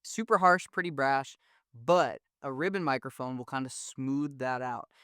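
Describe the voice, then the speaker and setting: Man, casual audio instructor, thin mid-range voice, smooth clean tone, dry close recording. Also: medium pitch, normal speed, dry recording. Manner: relaxed, slangy, enthusiastic explaining. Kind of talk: casual explanatory lesson. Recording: dry, close studio sound.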